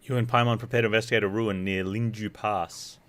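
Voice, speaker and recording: A man's voice: on the low side, steady tone, brisk, a bit bright, slight background noise. A man chatting playfully as he plays a game live, talking to himself and his viewers. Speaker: game streamer. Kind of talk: casual live game commentary.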